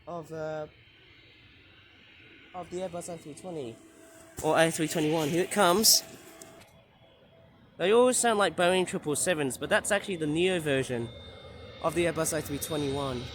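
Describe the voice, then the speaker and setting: A man, his voice a bit raised and croaky from the flu. He rambles on with many fillers and restarts. He is talking outdoors near an airport runway, into a handheld camera mic. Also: a little high, normal speed, pauses often, slight background noise. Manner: rambling, hesitant, filler-heavy. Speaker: plane-spotting vlogger. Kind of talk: casual running commentary.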